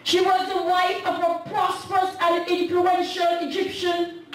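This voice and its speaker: High-pitched woman preaching, sing-song. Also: monotone, a little slow. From Canada. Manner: impassioned preaching, half-sung, with shouted peaks. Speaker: guest speaker at women's convention. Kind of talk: sermon. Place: church sanctuary. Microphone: handheld mic. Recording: echoey church sound from a distant camera.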